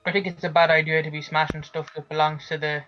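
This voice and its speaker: A man's voice: fairly high pitch, level tone, highs cut off, natural voice. A man voicing a chatty adventurer who ends lines with "eh", over faint music. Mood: earnest. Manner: quick, tag questions with "eh".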